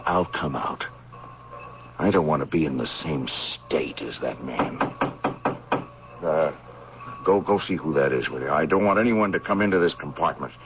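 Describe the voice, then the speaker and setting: A man playing a brooding, brusque army major haunted by war imprisonment, in old-time radio drama style. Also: very deep voice. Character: bitter, haunted male army major, freed prisoner of war. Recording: dull, noisy old radio recording.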